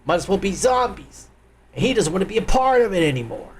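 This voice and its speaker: A man's voice: high-pitched, very animated, quick pace, slight background noise. A man hosting a live stream, ranting crudely and angrily in profane political commentary.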